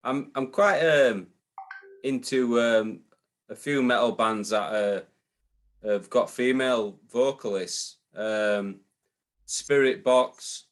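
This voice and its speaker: A man's voice: mid-range voice, takes it slowly. A man, a guest in a casual interview chat, hesitant with many ums and repeated starts. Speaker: guest, booking agent.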